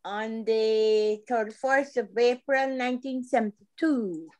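A woman's voice: on the high side, no echo. An elderly woman from Guyana with a Guyanese accent, giving short, laughing answers on a video call. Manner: slow, laughing, brief answers. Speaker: guest. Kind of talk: casual interview on a video call.

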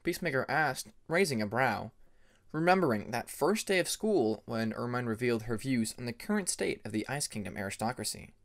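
A man's voice: medium pitch, animated, brisk. A man reading a story aloud as narrator of an amateur audiobook.

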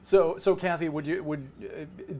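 A man's voice: fairly high pitch, fairly even intonation, unhurried, a little mellow. An older man casually hosting an interview over a video call, putting questions to guests. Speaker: interview host. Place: small room with pictures on wall. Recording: faint ambient noise on video call.

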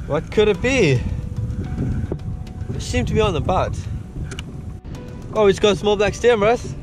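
A man's voice: high voice, expressive, a little slow. A young man with a South African accent casually commentating on his fishing in an informal, slangy way, outdoors on a kayak at sea. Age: young adult. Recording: loud music over the voice. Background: loud music.

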